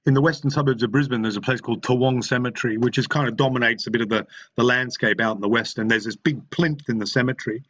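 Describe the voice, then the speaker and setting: Middle-aged Australian man, frank recovery-meeting share, halting restarts, mid-pitched voice, a bit muffled. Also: fairly even intonation, a bit bright. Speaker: speaker sharing at a recovery meeting. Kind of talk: personal testimony.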